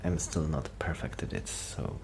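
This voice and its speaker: A man speaking softly in a breathy, near-whispered voice, rambling shyly and hesitantly in an ASMR roleplay. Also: moderate pace. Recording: noticeable background noise.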